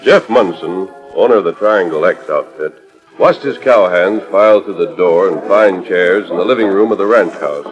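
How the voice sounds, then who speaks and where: A man's voice: deep voice, lightly acted. A man narrating a 1940s radio Western in a dramatic, declamatory storytelling style. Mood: grave, measured. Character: grave, stirring storyteller of a Western adventure. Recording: dull old radio disc with music.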